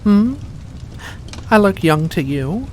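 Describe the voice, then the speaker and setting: A woman's voice: medium pitch, sing-song, takes it slowly, a little mellow, a little hiss or hum. A woman voicing an ancient, seductive furry vampire in an ASMR roleplay, coaxing and genteel with veiled menace. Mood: silky, welcoming. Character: ancient predatory vampire with furry paws, seductive, faux-kindly. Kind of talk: ASMR roleplay addressed to the listener.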